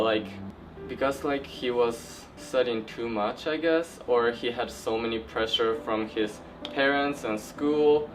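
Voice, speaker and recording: A young man from Japan with a Japanese accent, telling a story casually in halting non-native phrasing. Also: medium pitch, noisy background. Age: young adult. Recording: distant mic under music.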